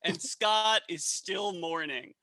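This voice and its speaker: A man's voice: high-pitched, takes it slowly, bright, forceful tone, no room sound. A man improvising comedy banter and setting scenes with mock-grand, repetitive phrasing.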